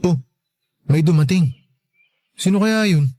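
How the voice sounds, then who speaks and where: A man's voice: sits high, slow pace, mellow, no echo. An actor playing a young man, a devoted partner and wounded son, in a Filipino radio drama. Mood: tender, easygoing.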